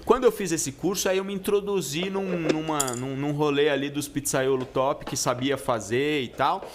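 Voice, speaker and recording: A middle-aged man born in Italy, a guest pizza chef in a casual podcast chat, telling relaxed stories with slang and many restarts. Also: fairly high pitch, moderate pace, a little hiss or hum.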